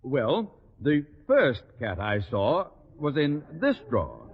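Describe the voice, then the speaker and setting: A man playing a flustered, blustering middle-aged high school principal in 1940s radio sitcom style, pompous and theatrical. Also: huge pitch swings, takes it slowly, breathy edge, muffled recording, exaggerated voice. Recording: old crackly radio broadcast, echoey, audience.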